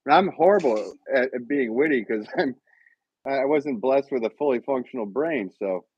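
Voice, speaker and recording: A man's voice: medium pitch, lively intonation, normal speed, husky, no echo, lo-fi sound. A man from Connecticut hosting a casual live-stream podcast, rambling with lots of fillers and frequent laughter. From Connecticut, USA. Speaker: podcast host.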